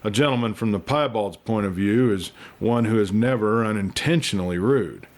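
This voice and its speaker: A man's voice: lowish voice, normal speed, pitched below the performer's own voice, natural voice. Man playing a wry, genteel western doctor. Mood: wry, cheerful. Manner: formal, dryly humorous phrasing. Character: genteel male doctor, wry, jaunty and precise.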